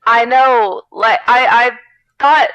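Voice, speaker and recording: A woman co-hosting a podcast in casual comedic chat, joking in an animated, profane way. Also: a little high, slow, highs cut off. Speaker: podcast co-host. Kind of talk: casual comedic chat and fan fiction reading.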